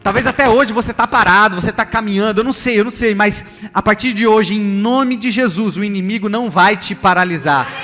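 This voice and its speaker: A man's voice: sits high, fairly quick, few pauses, bright, forceful tone. A male pastor with a Brazilian accent preaching a sermon to a congregation, emphatic and repetitive, putting rhetorical questions to his listeners. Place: large church hall, preaching live. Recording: long hall reverb, music underneath.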